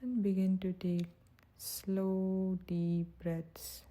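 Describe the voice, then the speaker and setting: A woman guiding a meditation in a soft, breathy, soothing and gentle voice, speaking without hurry. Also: medium pitch, level tone, very slow. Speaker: meditation guide. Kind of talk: guided meditation narration.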